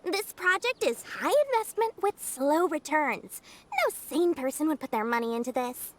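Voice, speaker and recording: A woman's voice: sits high, expressive, moderate pace, a little hiss or hum. A woman voicing a shrewd, smug, childlike girl merchant in a video game, theatrical, haughty and teasing. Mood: haughty, calculating. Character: shrewd, smug young female merchant, childlike and money-minded. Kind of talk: video game character acting.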